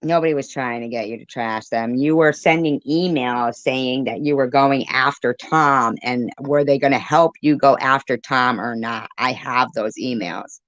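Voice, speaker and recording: A woman's voice: extremely low pitch, normal speed, hardly any pauses, a bit bright, no room sound. A woman arguing on a live panel, confrontational and sarcastic, repeating words for emphasis, on lo-fi call audio. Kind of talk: argumentative live panel talk. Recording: dull, dry lo-fi call audio.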